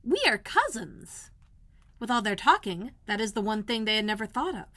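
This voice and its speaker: A woman reads a children's story aloud in the style of a school librarian. Her voice sits at a medium pitch, with expressive, clearly phrased delivery. The sound is close and dry. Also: fast, no room sound.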